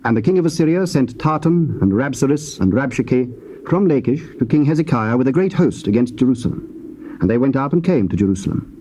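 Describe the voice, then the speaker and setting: A man with a British accent narrating scripture in a solemn, measured and formal way. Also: medium pitch, lively intonation, dark tone. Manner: measured, formal, deliberate phrasing. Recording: old cassette with faint hiss.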